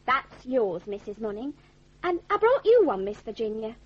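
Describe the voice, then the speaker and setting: High, husky, snobbish Northern English bride. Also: female voice, very animated, normal speed. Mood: self-satisfied. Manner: haughty, cutting. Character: snobbish young bride-to-be putting on airs. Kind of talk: 1980s radio drama acting. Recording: dull lo-fi radio recording.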